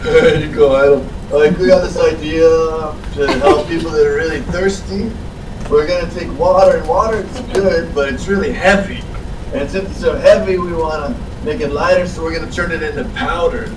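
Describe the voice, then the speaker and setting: A man's voice: fairly high pitch, steady tone, normal speed, natural voice. A man playing a loose, rambling young surfer-type contestant with a giggly delivery, recorded from a distance in a roomy space with noise behind. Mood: goofy.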